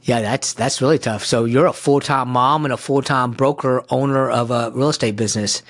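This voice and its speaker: A man's voice: medium pitch, steady tone, normal speed. A man hosting an interview, asking questions in an upbeat way and often repeating words as he starts a phrase. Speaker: podcast host, interviewer.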